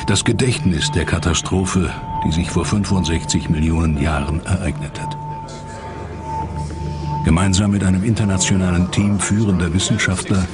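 A man narrating a dramatic documentary from a script. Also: low voice.

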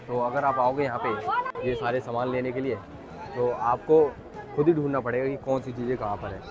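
A man's voice: mid-range voice, fairly even intonation, normal speed, over loud music. Young male vlogger, casual chat to camera, very noisy market crowd around him. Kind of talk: casual vlog chat to viewers. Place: crowded outdoor street market. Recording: loud outdoor market crowd noise. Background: bustling crowd and street sounds.

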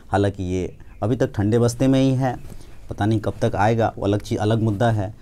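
An Indian man speaks in a soft-edged, mellow tone that sits a little low. He lectures informally like an exam coach talking students through material. He often repeats a phrase to drive it home.

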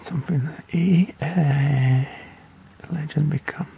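A man with a heavily gravelly, mellow voice talks through a game tutorial. He rambles in an offhand, hesitant manner, with faint noise behind him. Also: a little high, takes it slowly. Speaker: gaming video creator. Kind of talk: casual game tutorial commentary.

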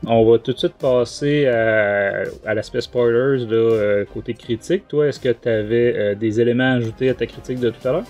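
A man with a Quebec French accent hosting a book podcast, giving his critique in a casual, halting way. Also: medium pitch, darkish tone, soft background music. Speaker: podcast host and book reviewer.

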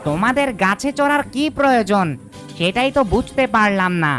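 A woman's voice: on the high side, lively intonation, normal speed, fairly bright tone, soft background music. A woman voicing a wise old fox who gives practical advice in a cartoon story. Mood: wise advising. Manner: advising, exhortative.

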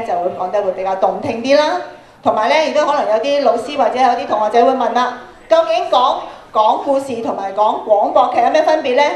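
A woman's voice: fairly high pitch, darkish tone. A middle-aged woman with a Hong Kong Cantonese accent, leading an interactive workshop for students and prompting them with questions. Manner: instructive, prompting with questions and tag particles. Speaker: radio drama workshop speaker. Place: large indoor auditorium, on and before the stage. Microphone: handheld microphone through PA. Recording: roomy auditorium PA sound.

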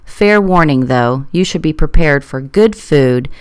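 A woman's voice: low-pitched, expressive, a little slow, clean tone, no echo. A woman reading scripted promotional narration.

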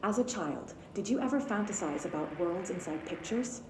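An actress playing a calm female interviewer who asks steady, probing questions about childhood. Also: fairly low pitch, normal speed, close to an everyday voice. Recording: echoey room with faint noise.

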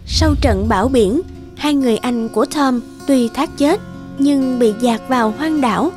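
Nữ kể chuyện cổ tích, giọng khá cao. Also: female voice, high voice, unhurried, darkish tone. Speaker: narrator. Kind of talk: scripted fairy-tale narration. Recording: music under the voice. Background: background music.

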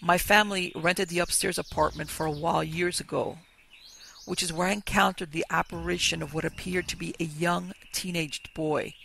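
A man hosting a podcast, reading listener ghost stories aloud in a slow, measured storytelling manner. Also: a little high, steady tone, vocal fry, bright, forceful tone, a little hiss or hum, rough recording. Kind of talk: scripted narration of ghost stories.

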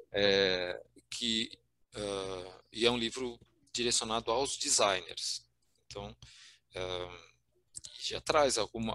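A man's voice: on the low side, pushed and bright. A middle-aged Brazilian man with a Brazilian Portuguese accent giving a slide talk on a video call, hesitant, with many fillers and restarts. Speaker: guest speaker. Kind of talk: presenting slides in a virtual meetup. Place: home room on video call.

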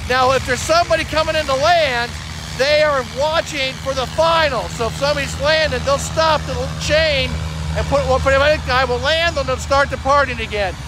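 A man's voice: very high-pitched, brisk. A middle-aged American man hosting an informal explanatory tour outdoors, talking emphatically into a phone held at arm's length. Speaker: air traffic controller giving a tour as host. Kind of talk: informal explanatory vlog talk. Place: outdoors at an airfield and open grounds. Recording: noisy outdoor airfield with vehicle sounds.

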